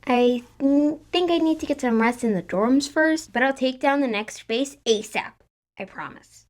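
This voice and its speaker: A woman's voice: high-pitched, normal speed, pitched above the performer's own voice, lightly acted. A woman voicing a chatty, eager, earnest teenage girl trainer in a fan fiction story reading. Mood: sleepy, eager.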